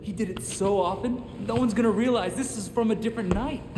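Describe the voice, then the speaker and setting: An actor playing a wry, amused young crime-fighter in a video game, talking in a teasing conversational way. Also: male voice, high voice, a little fast, close to an everyday voice. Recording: echoey hall, faint noise.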